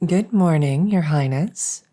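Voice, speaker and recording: A woman voicing a devoted, gentle vampire maid in an ASMR roleplay, soothing and polite, close to the mic. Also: deep voice, slow. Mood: soothing, caring. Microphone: close stereo condenser mic.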